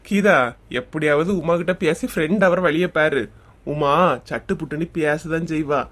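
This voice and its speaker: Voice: mid-range voice, slow pace, pauses often, fairly bright tone. An actor plays a young cartoon husband from a village, easygoing and mildly exasperated. The man speaks with a Kanyakumari Tamil accent. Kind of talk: comic cartoon voice acting.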